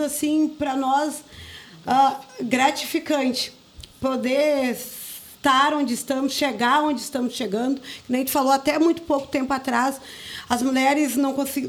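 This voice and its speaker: High-pitched woman with a southern Brazilian accent. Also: creaky. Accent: southern Brazilian, Rio Grande do Sul. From Rio Grande do Sul, southern Brazil. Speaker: interview guest, community group coordinator. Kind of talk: conversational radio interview talk.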